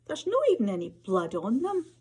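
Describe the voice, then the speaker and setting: A woman's voice: mid-range voice, big pitch swings, moderate pace, darkish tone, slightly rough. A woman reading the part of a bossy, curious schoolgirl with a Scottish accent and blunt manner in a story. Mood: mocking. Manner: blunt, colloquial.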